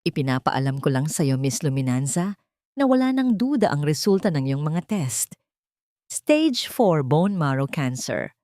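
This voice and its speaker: A woman's voice: sits low, very animated, measured pace, mellow, no echo, clean studio sound. A woman voicing a hospital doctor who delivers news in a cold, emotionless way.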